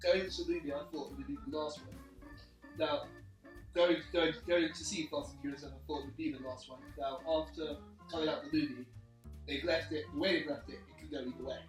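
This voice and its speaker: A man in a casual group film discussion, thinking aloud in halting, repeated phrases. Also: on the high side, steady tone. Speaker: film review panelist. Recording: echoey room, distant mic, music behind.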